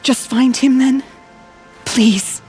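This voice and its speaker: A woman's voice: high voice, fairly even intonation, extremely slow. An actress playing an anxious, grieving tribeswoman in a video game, hesitant and earnest as she pleads. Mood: distraught, pleading. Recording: music under the voice.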